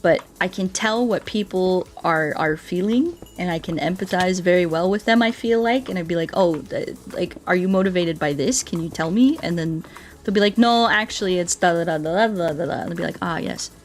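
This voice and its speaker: An American woman chatting casually as she streams a game, telling things in an animated way and repeating herself for emphasis, with music behind her. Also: lowish voice, a little fast. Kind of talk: casual chat while playing a game, reading viewer comments.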